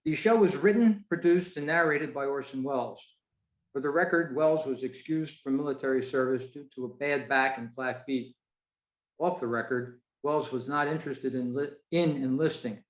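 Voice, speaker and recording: A man's voice: medium pitch, normal speed. A man presenting a prepared talk to a club over a video call, in a roomy-sounding space.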